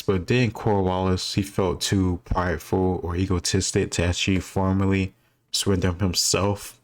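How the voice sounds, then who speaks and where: A man with a deep, low voice talks casually as he works through quiz answers. He is informal and thinks aloud, with faint music in the background. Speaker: reaction video host. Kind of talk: casual spontaneous quiz commentary.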